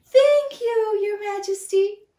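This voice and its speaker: A woman voicing a tiny, timid male mouse who squeaks and pleads in a high, trembling voice. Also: slow pace, mellow, reverberant, distant mic. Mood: grateful.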